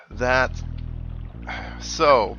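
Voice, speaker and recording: Deep-voiced man commentating over loud game music. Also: huge pitch swings, extremely slow, creaky, highs cut off. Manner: wry, sarcastic, mock-exasperated. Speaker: host of a video game playthrough. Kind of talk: casual gameplay commentary. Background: video game music.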